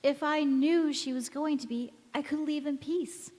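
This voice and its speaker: A woman playing a wistful, gentle mother in an old-time radio drama, recorded in an echoey church hall. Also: high voice, fairly even intonation, measured pace. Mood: wistful anguish. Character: wistful, gentle mother returned as young visitor.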